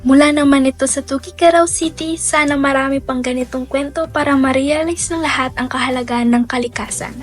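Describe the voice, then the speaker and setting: A woman's voice: high-pitched, steady tone, brisk, few pauses. A woman presenting as a radio DJ in a scripted hosting style. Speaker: radio DJ and host. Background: music.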